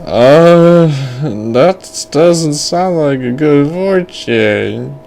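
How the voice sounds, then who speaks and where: Man putting on an excitable high-pitched little boy. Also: extremely slow, vocal fry, exaggerated voice. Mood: distressed, pleading. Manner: repeats words, begging and exclaiming. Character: excitable, pleading young boy, greedy for candy.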